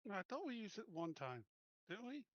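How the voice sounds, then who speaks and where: A man's voice: sits high, very animated, moderate pace, a bit bright, dry recording, muffled recording. A man playing a tabletop roleplaying game, chatting casually as a player at the table.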